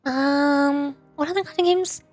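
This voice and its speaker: A high-pitched woman talking casually on a livestream, rambling from one thought to the next and replying to her viewers as she goes. Faint music plays quietly behind her voice. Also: steady tone, measured pace, no echo. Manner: rambling, chatty, answers viewers' questions. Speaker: streamer.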